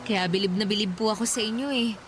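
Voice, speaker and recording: A woman's voice: mid-range voice, fast talker, lightly acted. A woman with a Filipino accent acting a caring, respectful young daughter in a radio drama, tender and earnest. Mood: admiring. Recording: clear background ambience noise.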